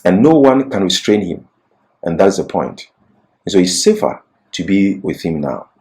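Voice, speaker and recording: A man presenting an expository Bible lesson as a teacher, speaking in emphatic, deliberate short phrases. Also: low-pitched, very animated, a little mellow.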